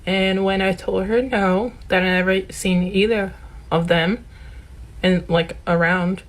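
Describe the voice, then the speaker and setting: A woman's voice: medium pitch, steady tone, slow pace, low-quality recording. A woman from Brazil telling a personal story in an earnest, indignant tone. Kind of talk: casual anecdote.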